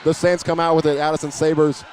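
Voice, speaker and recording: A man's voice: on the high side, level tone, fast talker, darkish tone, some chatter behind. A man calling live basketball play-by-play from an indoor arena, occasionally stumbling over or repeating a word. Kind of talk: live sports commentary. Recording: noisy gym ambience behind voice.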